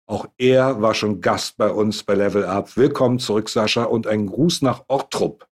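Ein Mann, der als Gastgeber eine Online-Panel-Fragerunde moderiert und die Gäste förmlich und gemessen vorstellt. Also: male voice, lowish voice. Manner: introduces guests in a measured, formal tone. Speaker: host.

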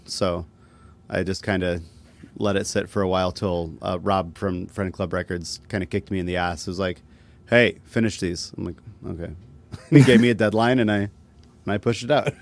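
A man chatting casually as a musician being interviewed, talking in a laid-back, self-deprecating way with halting phrasing. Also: low voice, creaky. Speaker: musician guest.

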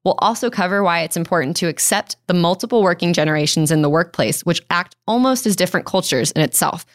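A young woman hosts an interview podcast with an upbeat, encouraging manner. Her voice sits on the low side, and she fills her guest's pauses with quick affirmations. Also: expressive. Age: young adult. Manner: upbeat, frequent listening affirmations. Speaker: podcast host.

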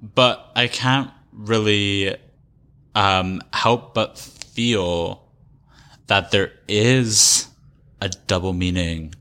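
Low-pitched man with a bright edge. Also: extremely slow, clear, resonant tone. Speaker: podcast co-host. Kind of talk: casual music discussion.

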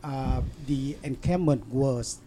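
A man in an interview, telling a story in halting, broken English with many fillers and restarts. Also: mid-range voice, mellow, slight background noise. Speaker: interview guest. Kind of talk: casual storytelling.

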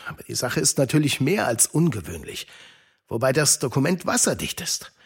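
A man's voice: medium pitch, sing-song, normal speed, vocal fry, slightly rough, full character voice. A man acting a kindly, devout uncle in a German children's radio play, earnest and shaken. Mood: earnest, steadfast.

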